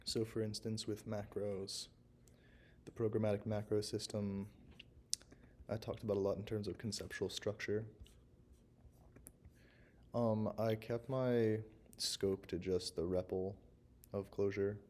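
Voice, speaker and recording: Young male student, hoarse from a sore throat; slow, flat, halting walkthrough. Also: fairly low pitch, a little hiss or hum. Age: young adult. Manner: halting, unscripted, frequent pauses. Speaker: university student presenter. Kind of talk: recorded class presentation, screen walkthrough.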